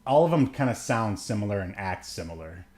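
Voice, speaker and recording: A man's voice: on the low side, sing-song, fairly quick, darkish tone. A man around his thirties or forties chats casually as a podcast co-host, joking and meandering through his thoughts. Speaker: podcast co-host and film reviewer. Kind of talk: casual unscripted film discussion.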